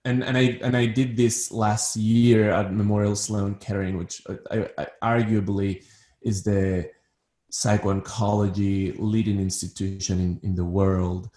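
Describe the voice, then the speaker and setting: Ecuadorian man, low voice, roomy echo. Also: flat delivery, a little slow, warm tone. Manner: repeats words when starting sentences, thoughtful. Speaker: guest, psychiatrist. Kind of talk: interview conversation.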